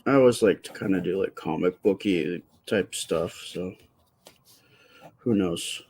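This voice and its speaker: A man with a quiet, soft voice chatting casually with viewers during a live stream while he draws. He rambles and stops to restart his sentences, speaking close to the microphone. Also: deep voice, slightly rough. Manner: rambling, halting, with many fillers and restarts.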